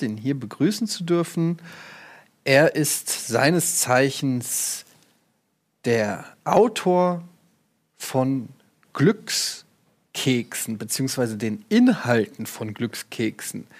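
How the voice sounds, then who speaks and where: A man's voice: medium pitch, lively intonation, slow. A man hosting a mock interview, asking polite questions with a straight face.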